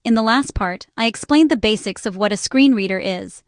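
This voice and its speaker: A woman's voice: medium pitch, normal speed, no room sound, clean studio sound. A woman reading a scripted explainer narration in short, instructional phrases. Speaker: narrator and small business owner explaining accessibility.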